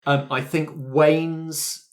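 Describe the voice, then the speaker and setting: A man's voice: fairly high pitch, flat delivery, drawn-out words. A man acting as game master, setting scenes for an improvised tabletop roleplay.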